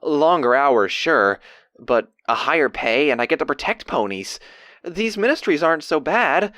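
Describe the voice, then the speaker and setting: Bashful young stallion diarist, male actor: very animated pitch, awkward earnest rambling, dry close sound. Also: moderate pace, no echo. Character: bashful, excitable young male pony keeping audio diary. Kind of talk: casual diary monologue, acted.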